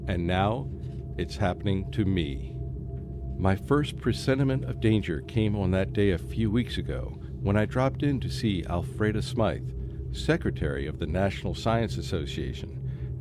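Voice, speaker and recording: A man's voice: low-pitched, lively intonation, normal speed, noisy background. A man playing a skeptical, scholarly British scientist in a radio drama, rational but troubled. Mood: grave, uneasy. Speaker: actor and first-person narrator. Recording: faint music behind a student radio play.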